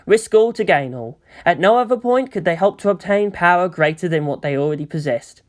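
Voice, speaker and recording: A reader narrating a long fan fiction chapter aloud in a steady storytelling manner. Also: mid-range voice. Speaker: fanfic reader.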